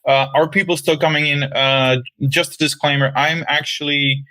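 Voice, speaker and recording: A man's voice: fairly high pitch, little pitch movement, normal speed, bright, forceful tone, no echo, rough recording. A man from the Republic, hosting a casual interview and talking hesitantly with many fillers. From Czech Republic. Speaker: podcast host.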